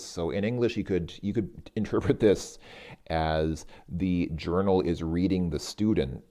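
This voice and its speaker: Male, deep voice, informal tutor, hesitant delivery, reasons through translations as he speaks. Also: a little mellow. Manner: hesitant, halting, thinking aloud. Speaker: language learner presenting a Russian lesson. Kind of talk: informal lesson, translating and thinking aloud.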